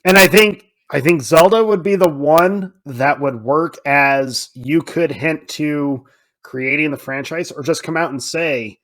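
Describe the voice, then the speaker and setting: Male podcast co-host, conversational and casual, speaks with animated emphasis. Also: on the high side, a little slow. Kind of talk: casual film discussion.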